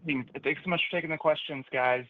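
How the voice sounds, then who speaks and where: A man's voice: on the high side, fairly quick. A man speaking as a financial analyst, asking polite, informal, slightly stammering questions on an earnings call. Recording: narrow tinny phone line.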